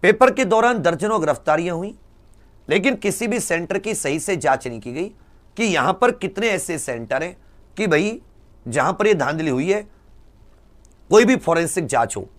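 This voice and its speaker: A man speaks in a fairly high voice with very lively, swinging intonation. He addresses students like a coaching teacher rallying them, emphatic and urging, throwing out rhetorical questions and repeating key phrases. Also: moderate pace.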